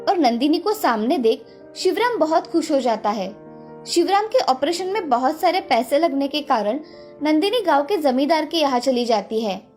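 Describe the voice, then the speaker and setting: A woman's voice: sits high, darkish tone. A woman narrator reading a scripted moral story for children.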